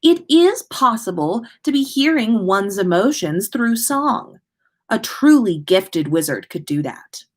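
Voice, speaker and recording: A woman's voice: medium pitch, big pitch swings, slow, pitched above the performer's own voice. Storybook role: studious teen witch, read by a woman, knowing, explanatory delivery. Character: knowing, bookish teenage witch girl.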